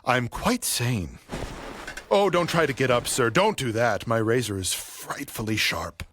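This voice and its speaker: An actor playing a vengeful graying barber in an audio play, speaking with servile politeness and cool menace. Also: male voice, mid-range voice, very animated, measured pace, a bit bright, a little hiss or hum. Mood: taunting, controlled.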